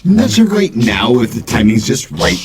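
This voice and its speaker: A man's voice: medium pitch, big pitch swings, moderate pace, over a noisy crowd. A man voicing a brash rapper puppet bandleader, speaking in rhythmic, slangy rhymed rap. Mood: cool, rhyming. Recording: loud music over the voice.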